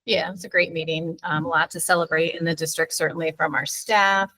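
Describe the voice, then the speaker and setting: A woman's voice: low-pitched, steady tone, a little fast, bright, forceful tone. A woman who is a new school board member, speaking in a friendly, affirming way. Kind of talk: casual meeting remarks.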